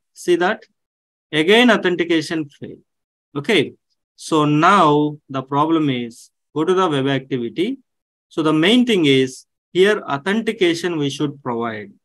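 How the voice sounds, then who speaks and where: A man in his thirties walking viewers through a software task on screen, step by step, with many "okay" and "so" fillers. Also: on the high side, no echo. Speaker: online tech instructor. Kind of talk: screen-share tutorial walkthrough. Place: indoors at a desk.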